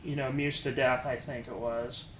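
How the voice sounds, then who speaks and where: A middle-aged man chatting casually as an interviewer, haltingly rephrasing his questions as he goes. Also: mid-range voice, little pitch movement, a bit bright. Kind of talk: casual chat. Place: small indoor listening room, seated. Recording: roomy echo, clear background noise.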